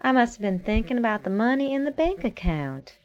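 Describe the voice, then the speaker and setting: A woman acting in an audio drama as a hospital patient who brushes off concern in an unhurried manner. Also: a little high, very animated, lo-fi sound. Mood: reassuring, dismissive. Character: reassuring, dismissive woman in a hospital bed.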